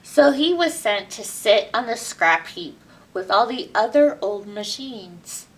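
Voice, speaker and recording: A woman reads a storybook to young children in a high voice that swoops around expressively. She takes it slowly and asks the listeners questions. She sounds a bit away from the mic, with some background noise. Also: animated, fairly bright tone, distant mic, poor audio. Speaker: library storytime reader. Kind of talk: read-aloud picture book storytelling for children, with asides.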